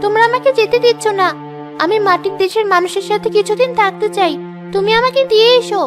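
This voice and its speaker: A woman's voice: very high-pitched, fast talker, music in the background. A woman voicing a headstrong, pleading young fairy princess girl in a children's cartoon. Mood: pleading, insistent.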